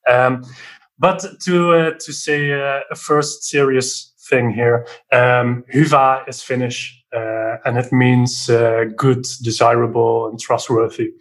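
A man from the southern Netherlands with a Dutch accent, talking casually as a podcast guest and software developer. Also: medium pitch, level tone, slow pace. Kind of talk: casual explanatory interview talk.

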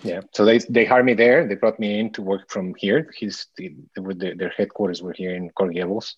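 Deep-voiced man from Mexico, halting. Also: steady tone, husky, dry recording, muffled recording. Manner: hesitant, many restarts and fillers. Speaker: podcast guest. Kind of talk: casual interview chat.

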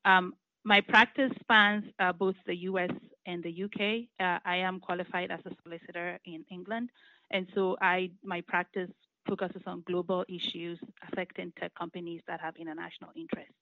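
A woman, a law firm partner giving measured, explanatory interview answers with frequent fillers. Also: on the low side, level tone, no room sound. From Ghana. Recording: muffled lo-fi audio.

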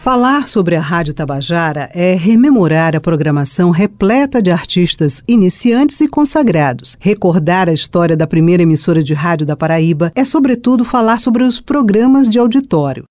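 A woman's voice: lowish voice, lively intonation, moderate pace, hardly any pauses, darkish tone, no echo. A woman reading scripted narration for a radio documentary as its reporter.

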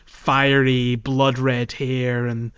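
A man's voice: medium pitch, little pitch movement, very slow, fairly bright tone, smooth, highs cut off. A man hosting a casual gaming podcast, talking in an enthusiastic, geeky way. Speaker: podcast host. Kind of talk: casual game discussion podcast.

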